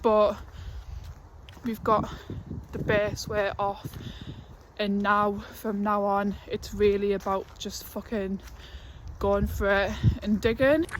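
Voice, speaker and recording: Slow-talking young woman vlogger. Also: mid-range voice, flat delivery, a little hiss or hum, rough recording. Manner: chatty, with laughs and fillers. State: out of breath while walking uphill. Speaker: fitness vlogger. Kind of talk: casual vlog talk to camera. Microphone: phone held at arm's length.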